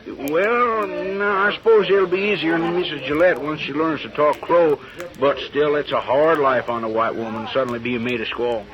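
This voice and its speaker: A man's voice: fairly high pitch, steady tone, normal speed, close to an everyday voice. A man playing a tipsy, tactless townsman in a radio western, with a rural American accent and a folksy, blunt, rambling way of talking. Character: tipsy, tactless, gossipy male frontier townsman. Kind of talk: old radio western acting. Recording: muffled old radio recording, crowd chatter.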